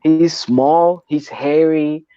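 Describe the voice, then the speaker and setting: A man's voice: fairly high pitch, drawn-out words, clear, resonant tone, muffled recording. A man from Puerto Rico with a Puerto Rican accent, chatting casually on a podcast, often repeating and restarting words as he talks. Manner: repeats and restarts words, animated when making a point.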